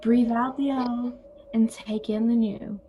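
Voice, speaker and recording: High-voiced, wry literature-teacher sister played by a woman. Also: steady tone, slow, dark tone, slight background noise. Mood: reflective. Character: calm wry older sister Bea, former literature teacher. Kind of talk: audio play acting.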